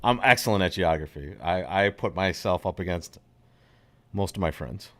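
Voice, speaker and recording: Deep-voiced man calling bridge hands. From upstate New York. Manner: jokey, excitable, quick asides. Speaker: bridge commentary host. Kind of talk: live bridge commentary chat.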